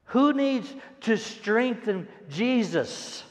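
An older man preaches a sermon and reads scripture with dramatic, emphatic delivery and deliberate pauses. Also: very high voice, animated. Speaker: pastor. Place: large church auditorium stage, indoors. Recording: echoey hall, long reverb.

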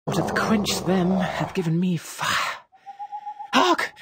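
An actress playing a ruthless, scornful murderous wife in a theatrical Shakespearean drama, intense, commanding and breathy. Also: female voice, on the low side, animated, slow pace, very noisy. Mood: hushed, bold.

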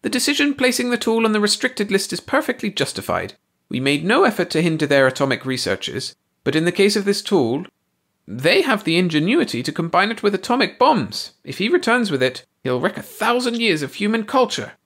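A man voicing a shrill, excitable male alien scientist who squeaks and shrieks in a storybook reading. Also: brisk, heavily acted. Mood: ranting. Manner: shrieking, agitated, high-pitched.

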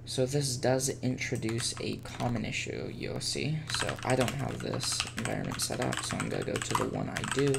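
A man presenting a casual step-by-step software tutorial as a screencast voice-over. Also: medium pitch, vocal fry. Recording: faint background noise and keyboard typing.

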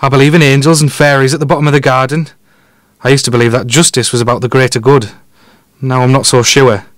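A man's voice: mid-range voice, level tone, fast talker, no room sound, studio quality, close to an everyday voice. A man playing a cocky, sardonic detective in an audio drama, blunt and drily sarcastic, with a Teesside accent. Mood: aggrieved. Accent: Teesside English. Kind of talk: audio drama staged as a podcast.

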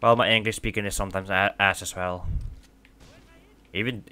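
A man's voice: lowish voice, fairly even intonation, normal speed, pushed and bright, clear, resonant tone. Dutch man rambling over gameplay. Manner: rambling, filler-heavy with many restarts. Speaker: gaming channel host. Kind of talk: casual let's play commentary.

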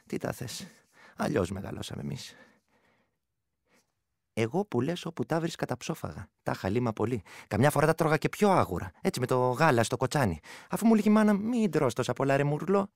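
A gravelly, folksy Greek village veteran. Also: male voice, medium pitch, dry recording, studio quality. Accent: rural Greek. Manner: folksy, chatty. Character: folksy ageing village war veteran reminiscing about boyhood. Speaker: actor. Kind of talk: radio play monologue, colloquial reminiscing.

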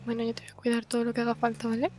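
A woman's voice: on the high side, completely flat delivery, rapid-fire, warm tone, slight background noise. A woman playing Dalia, a new taxi driver, chatting sincerely in a roleplay. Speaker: roleplay player.

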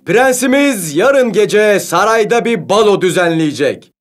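A man's voice: high voice, lively intonation, a little slow, soft background music. A man playing a formal, courteous royal herald proclaiming in a fairy-tale cartoon.

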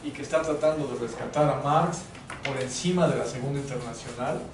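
A middle-aged man with a Mexican Spanish accent speaking emphatically in an academic discussion. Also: mid-range voice. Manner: emphatic, repetitive phrasing. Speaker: course lecturer. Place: living room with sofas, indoors. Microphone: far camera. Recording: echoey room from far off, background noise.

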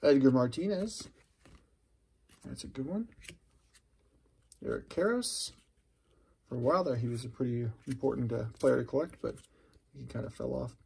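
A middle-aged man with a mellow, gravelly voice gives casual, unscripted commentary while handling trading cards. His tone is husky and creaky, and he muses aloud as if talking to himself. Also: medium pitch, moderate pace, long pauses. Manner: chatty, musing aloud to himself. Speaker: host of a trading card opening video. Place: indoors at a wooden table.

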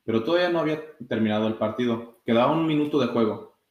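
A young man with an average-pitched voice reads a children's book aloud. He raises his voice for the characters' exclamations. The recording has noticeable room echo. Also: fast, dull audio. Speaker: soccer goalkeeper reading a children's story. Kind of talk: read-aloud storytime. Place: indoor room with blinds, sitting. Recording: roomy echo.

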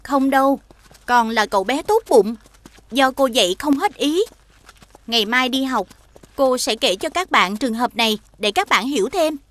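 A woman playing a gentle, patient schoolteacher who explains things in a children's cartoon, with a Southern Vietnamese accent. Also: high voice, lively intonation, normal speed. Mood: reassuring, instructive. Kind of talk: animated children's cartoon dubbing. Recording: music behind the voice.

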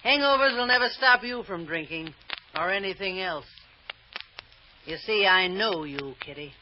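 An actress playing a domineering, cold-blooded villainess in an old-time radio drama, with a sharp, sneering, commanding delivery. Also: female voice, medium pitch, expressive, normal speed, natural voice. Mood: contemptuous. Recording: muffled, noisy old radio recording.

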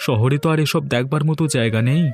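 A man's voice: mid-range voice, quick pace, a little mellow, faint music behind, lightly acted. A man voicing a writer son-in-law in a cartoon who speaks earnestly and admires nature. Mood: admiring. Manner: earnest, wistful. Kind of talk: cartoon voice acting.

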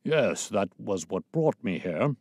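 A man's voice: lowish voice, sing-song, slow, darkish tone, dry recording, clean studio sound, heavily acted. A man voicing a bluff, hearty, self-important police officer with an emphatic manner, in an audiobook. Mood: businesslike.

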